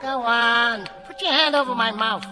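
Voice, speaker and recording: A man's voice: very high voice, moderate pace, pushed and bright, faint music behind, exaggerated voice. A man voicing a cocky, quarrelsome little ventriloquist dummy with an American accent, heckling in a radio comedy act. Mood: taunting. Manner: cheeky, combative, slangy heckling.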